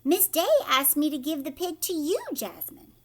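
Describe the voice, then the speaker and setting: A helpful school bus driver from a storybook, acted out by a woman reader. She speaks plainly and obligingly in a high, lively voice. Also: moderate pace, background noise. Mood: insistent.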